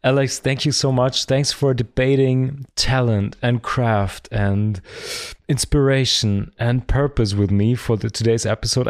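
A man's voice: medium pitch, dry recording. Cheery podcast host guy, talks to listeners, dry close mic. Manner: upbeat, warm sign-off, addresses listeners as sweeties. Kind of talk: podcast interview and outro.

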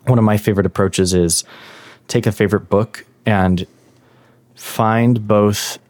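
A man speaking as an interview guest who shares his advice. He has a somewhat low voice and explains things in a thoughtful, methodical way. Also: fairly even intonation, a little slow, a little mellow. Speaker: guest, language learning expert. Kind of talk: interview answers.

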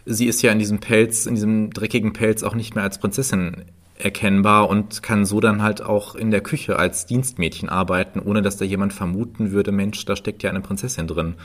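A man's voice: lowish voice, fairly even intonation, normal speed. A man co-hosting a podcast in casual discussion, often chiming in to agree. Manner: often affirms the others with short agreeing interjections. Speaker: podcast co-host.

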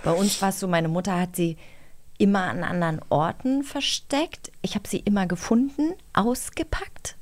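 A woman's voice: medium pitch, moderate pace. A woman co-hosts a casual chat in a blunt, self-mocking way. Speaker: co-host.

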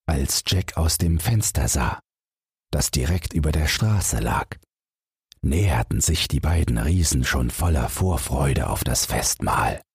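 Husky low-pitched man reading slowly. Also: expressive, no echo, clean studio sound. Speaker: audiobook narrator. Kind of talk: scripted bedtime fairy tale narration.

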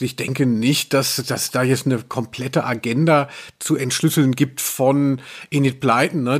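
A man hosting a podcast in casual discussion, feeling his way through thoughts in a halting, reflective manner. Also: mid-range voice. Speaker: podcast host.

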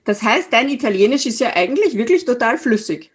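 A woman interviewing a guest in a relaxed chat, asking questions and reacting with short encouraging remarks. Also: a little high, a little fast, breathy edge. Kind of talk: casual conversational interview.

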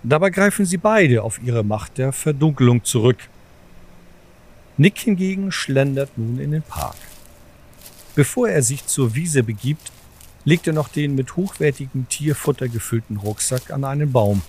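Mid-pitched male audio drama narrator. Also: expressive, normal speed, a little mellow, husky.

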